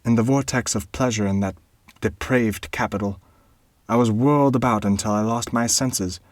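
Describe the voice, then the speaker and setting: A man's voice: on the low side, moderate pace, dry recording, voice pushed up, close to an everyday voice. A man voicing an anxious, earnest young baron in a storybook reading, pleading and imploring.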